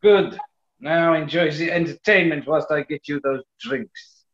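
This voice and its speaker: A man playing an unhurried waitress at a New York gin club in a 1940s-style radio comedy. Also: on the high side, fairly bright tone, echoey room, dull audio. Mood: dutiful, unhurried.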